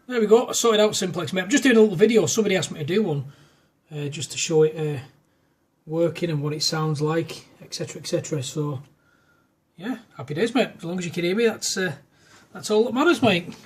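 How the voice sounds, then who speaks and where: Man with a higher-pitched voice. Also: a little fast, breathy edge. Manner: matey, uses radio jargon and call signs. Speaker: amateur radio operator making a demo video. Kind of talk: casual radio chat. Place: indoors at a desk in a front room.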